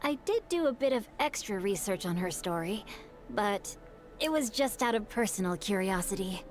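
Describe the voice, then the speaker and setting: A woman voicing a courteous, bookish young male scholar in a video game, with measured, articulate storytelling. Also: medium pitch, lively intonation, lightly acted. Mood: helpful, modest. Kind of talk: scripted video game dialogue. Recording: music under the voice. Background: music.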